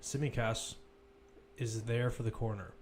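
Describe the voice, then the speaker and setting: A man's voice: mid-range voice, steady tone, measured pace, slight background noise. A man commentating live on a football match, mixing play-by-play calls with informal, conversational analysis.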